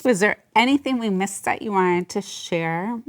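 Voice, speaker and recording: A woman's voice: medium pitch, moderate pace. A woman hosting a casual interview, eager and full of praise. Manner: enthusiastic, gushing, warm praise. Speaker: interview host.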